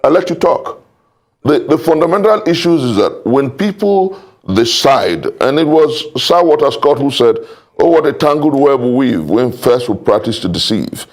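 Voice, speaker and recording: Gravelly Nigerian man arguing politics. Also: medium pitch, animated. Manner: emphatic, formal, deliberate repetition. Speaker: political panel guest. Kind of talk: political debate, oratorical argument.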